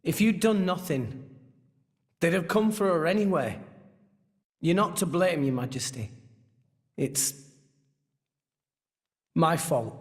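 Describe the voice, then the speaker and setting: A man's voice: fairly high pitch, takes it slowly, pauses often. A male actor playing an earnest teenage boy adventurer in a game cutscene, talking in plain, informal words. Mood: subdued. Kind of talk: animated game cutscene acting.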